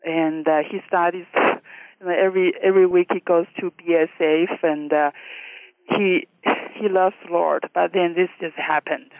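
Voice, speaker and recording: A woman from China and Taiwan, calling into a radio show and speaking haltingly with many fillers. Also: lowish voice, fairly even intonation, no room sound. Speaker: caller. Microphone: telephone. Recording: tinny phone line.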